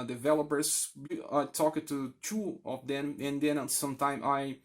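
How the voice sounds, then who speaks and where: A man from Brazil, a native Brazilian Portuguese speaker with a Brazilian accent, speaking candidly and haltingly as a gaming video creator addressing viewers. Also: a little high. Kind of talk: candid, unscripted appeal to channel viewers.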